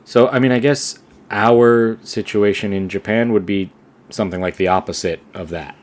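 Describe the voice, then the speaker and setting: A young man with a fairly low voice chats easily as a podcast co-host. He rambles, circles back and fills pauses with "um" and "like" in a loose, relaxed way. The delivery is plain and unhurried. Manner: relaxed, rambling with many fillers and restarts. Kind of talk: casual conversation.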